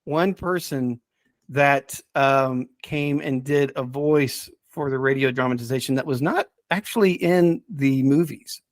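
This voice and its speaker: A man hosting a casual podcast, talking enthusiastically but haltingly as he searches for names and details. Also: mid-range voice, no echo, studio quality. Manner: enthusiastic about the subject. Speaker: podcast host.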